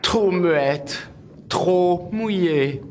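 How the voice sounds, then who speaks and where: High-voiced fussy young prince. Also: male voice, very slow, over loud music. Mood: picky, dismissive. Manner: earnest, picky. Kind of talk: French cartoon dubbing.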